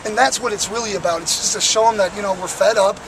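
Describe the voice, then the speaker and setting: Young man, raspy strained voice, high-pitched, impassioned, traffic noise outdoors. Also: fast talker. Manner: earnest and impassioned, with frequent fillers. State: raspy, worn voice. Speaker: protester. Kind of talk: street interview. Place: outdoors on a city plaza by traffic.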